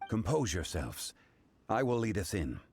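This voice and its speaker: Game casting: calm, authoritative scholar, fairly deep voice, formal phrasing with expressive swings. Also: male voice, unhurried, very noisy. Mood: calm, commanding. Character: composed, dignified, commanding male scholar. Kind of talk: video game character acting. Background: game music.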